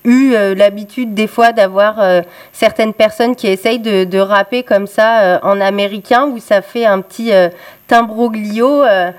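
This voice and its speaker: A woman's voice: mid-range voice, unhurried. A young woman hosting a radio interview, speaking hesitantly with many filler sounds. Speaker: radio host.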